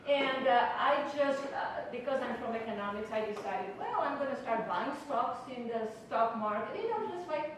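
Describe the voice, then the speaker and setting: A woman's voice: a little high, expressive, moderate pace, hardly any pauses. A middle-aged Portuguese woman, a native Portuguese speaker with an accent, giving a chatty, personal tax talk to students with many fillers. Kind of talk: informal lecture to students. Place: campus lecture room. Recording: echoey hall from far off with noise.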